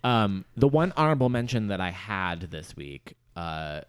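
A man hosting a podcast, chatting casually about pop culture and telling things in an animated, rambling way. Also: deep voice, moderate pace, clear, resonant tone. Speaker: podcast host.

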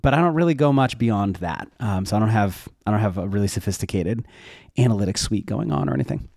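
A man's voice: lowish voice, animated, fast talker, vocal fry, darkish tone, no echo, studio quality. A man talking casually and informally as an interview guest, explaining how he works in a conversational way. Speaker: interview guest, web developer and educator.

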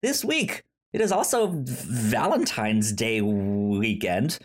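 A man hosting a casual film review podcast, talking haltingly and often stammering over the start of words. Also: fairly low pitch, lively intonation. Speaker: podcast host.

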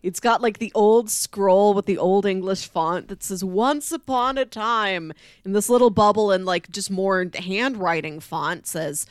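A woman's voice: mid-range voice, a little slow, few pauses, smooth, no echo. A woman in casual podcast discussion, conversational, slipping into acted-out quotes as she explains. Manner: conversational, acts out quoted lines.

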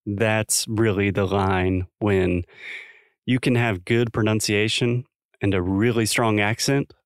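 Low, dry-voiced man explaining things calmly. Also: slow, smooth, no room sound. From South Carolina, US. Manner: thoughtful, explains with examples. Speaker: podcast co-host. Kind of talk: casual educational podcast chat.

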